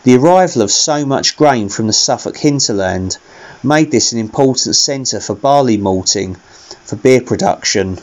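A man's voice: mid-range voice, expressive, normal speed, darkish tone, rough recording. A middle-aged man, narrating a walk as an outdoor vlogger. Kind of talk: travel narration and walking commentary.

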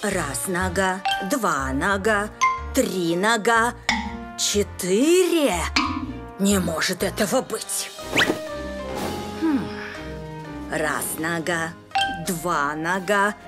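Lively old cartoon grandmother, music behind. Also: medium pitch. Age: older. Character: caring, slightly fussy elderly grandmother. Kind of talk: animated children's cartoon acting.

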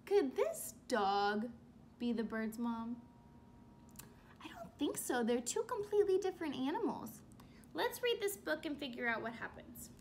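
A young woman teacher reading a children's book aloud and asking questions in an expressive, instructive way. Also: high voice, slight background noise. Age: young adult. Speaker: elementary school teacher. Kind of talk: children's read-aloud lesson. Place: small room at home.

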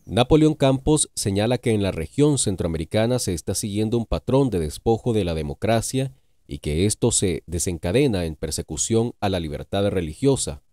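A man reading scripted news narration in a formal, measured way. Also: fairly low pitch, animated, mellow, no echo.

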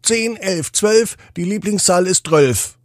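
A man's voice: high-pitched, slow pace, no echo, studio quality, pitched above the performer's own voice. A man voicing a chirpy CD announcer in a children's story, talking in sing-song rhymes. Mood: playful, sing-song.

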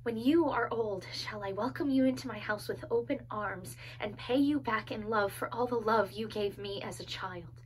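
A woman performing a classical tragic monologue as a young daughter pleading with her father, from hushed whispers to desperate cries. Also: medium pitch, normal speed, hardly any pauses, natural voice, low-quality recording. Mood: tender whisper.

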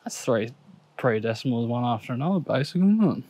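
A man in his thirties with an Australian accent, chatting casually to viewers while metal detecting outdoors, excitable and quick to laugh at his finds. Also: mid-range voice, husky, dry recording. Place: outdoors on a grassy park lawn. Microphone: action camera on the body or set on the ground.

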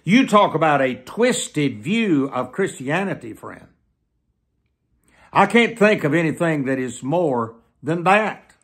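An elderly man preaching to the camera in an emphatic, deliberate manner, often repeating phrases for weight. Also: on the high side, very animated, fairly bright tone, husky. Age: 70s. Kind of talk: sermon to camera. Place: small plain room indoors.

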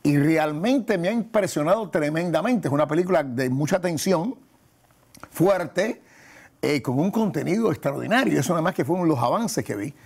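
A man in his sixties hosting a conversational television interview in a studio, with a husky, gravelly voice. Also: fairly high pitch, lively intonation, normal speed, a little mellow. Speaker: talk show host, pastor. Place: TV studio set.

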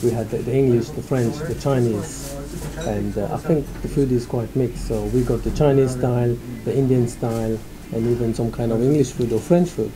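A man in his thirties from Mauritius, answering interview questions in a small restaurant, hesitant with many pauses and throat clears. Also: mid-range voice, fairly even intonation, crowd noise, poor audio. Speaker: restaurant owner. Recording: background music.

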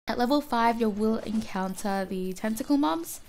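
A woman with a cough-roughened voice narrating a mobile game guide, explaining enemy patterns and often correcting herself. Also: fairly high pitch, moderate pace, darkish tone. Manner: explanatory, self-correcting, apologetic. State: hoarse from a cough. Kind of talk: gameplay guide voice-over.

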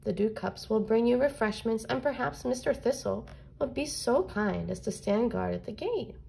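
A woman's voice: on the high side, animated, moderate pace, creaky, dark tone. A woman voicing a gracious, commanding queen of the flowers, regal and polite, in a children's storybook. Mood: gracious, firm.

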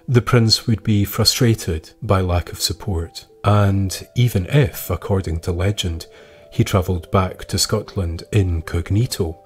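A man reading scripted historical tales as a podcast narrator, measured and with dramatic pauses. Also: low voice, husky.

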